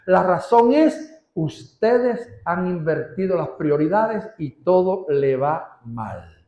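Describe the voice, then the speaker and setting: A man preaching a sermon as pastor, stretching out key words and rising in pitch for emphasis. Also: lively intonation, slow, roomy sound, dull audio. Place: church.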